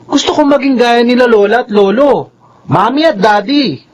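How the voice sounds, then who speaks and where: A man's voice: very high voice, normal speed. A Filipino man playing a bossy, studious teenage older brother in a radio drama, admonishing his sibling. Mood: earnest, admonishing.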